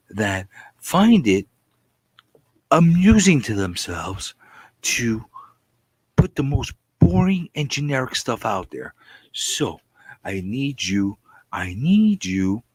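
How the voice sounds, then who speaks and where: A man hosting a comic book channel, ranting comedically with theatrical repetition, stammered phrases and a husky voice that often drops into breathy whisper. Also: on the low side, darkish tone, no echo. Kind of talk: comedic rambling rant to viewers.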